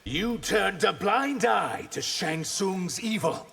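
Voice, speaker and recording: A man's voice: high-pitched, very animated, slow, gravelly, a bit bright, breathy edge, heavily acted. A man playing an earnest, vengeful reptilian warrior in a video game, speaking with intense, dramatic defiance. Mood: taunting. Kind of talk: video game character dialogue.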